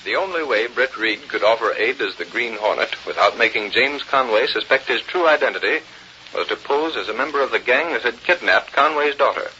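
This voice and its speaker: Bright-voiced vintage radio narrator, male. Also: mid-range voice, animated, a little fast. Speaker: radio drama announcer and narrator. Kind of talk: 1930s-40s scripted radio narration. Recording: dull, noisy old radio recording.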